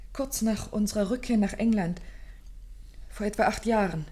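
A woman's voice: medium pitch, mellow, lightly acted. A woman acting as a distressed young lady of good family, with a mid-range voice. Her phrasing is formal and dramatic, with an anxious, pleading edge. It is classic radio-play acting.

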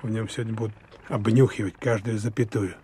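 An actor playing a businesslike lieutenant colonel in a Soviet radio drama, giving clipped, official orders. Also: male voice, fairly low pitch, steady tone, a little fast, mellow, a little hiss or hum, close to an everyday voice. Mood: ordering firmly. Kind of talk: Russian radio drama acting.

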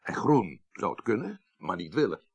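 An actor playing a shrewd, wry, middle-aged senior politician in a radio drama, speaking Netherlands Dutch with a gravelly, urbane delivery. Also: male voice, on the low side, sing-song, normal speed, no room sound, highs cut off. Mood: wry, amused. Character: shrewd, worldly male senior politician, wry party leader. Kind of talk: 1980s radio drama acting.

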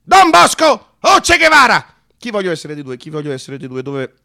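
Cattolico di sinistra agitato, voce acuta. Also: male voice, sits high, huge pitch swings, normal speed, gravelly, heavily acted. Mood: provocative. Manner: stammering, repeating phrases, swinging from muttering to shouting. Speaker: narrator-actor of a satirical monologue. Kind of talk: theatrical comic monologue for radio.

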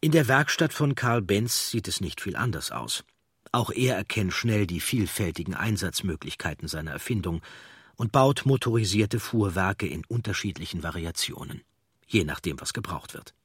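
A man reading scripted documentary narration with measured, storytelling delivery and a husky voice. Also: low-pitched, lively intonation, no echo, studio quality.

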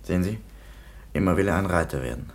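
A man's voice: low voice, fast talker, gravelly. An actor playing a perplexed, somewhat dismissive police commissioner giving his report in a radio play. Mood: dismissive, unsettled. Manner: animated storytelling, dismissive.

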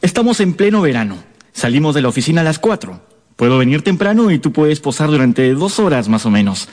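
A man's voice: fairly high pitch, very animated, a little fast, a little mellow, big-room sound. A man acting in a radio drama as a confident, hearty and sensual painter of peasant stock. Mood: eager, friendly.

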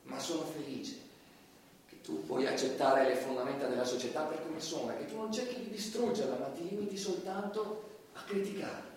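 An actor playing a stern, sardonic judge who interrogates with measured authority, in a theatrical stage style. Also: male voice, fairly high pitch. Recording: echoey distant stage recording, faint noise.

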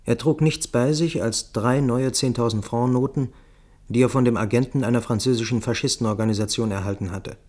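A man's voice: mid-range voice, fairly quick, darkish tone. A man reading scripted, literary first-person narration for a radio play.

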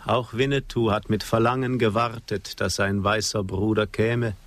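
An actor playing a proud, sorrowful Apache chief in a radio drama, with solemn, poetic delivery. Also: male voice, on the low side, level tone, natural voice. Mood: mournful. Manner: solemn, poetic, measured declamation. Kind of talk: German radio drama acting.

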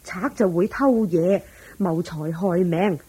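Master's wife: dark, breathy mid voice, sympathetic and attentive, Hong Kong Cantonese radio drama. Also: female voice, lowish voice, slow, exaggerated voice, rough recording.

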